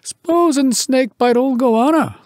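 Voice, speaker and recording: A man voicing a lazy chieftain who gives folk advice in halting pidgin for a story poem. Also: very high voice, a little mellow, no echo, clean studio sound, pitched above the performer's own voice. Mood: unhurried, knowing.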